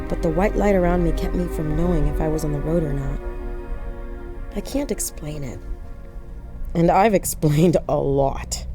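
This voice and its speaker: An actress voices a haunted woman in a horror audio drama, her voice on the low side. She confides eerie, unraveling memories straight to the listener in a dramatic monologue. Music plays clearly behind her. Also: mellow, close to an everyday voice. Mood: resolute, intense.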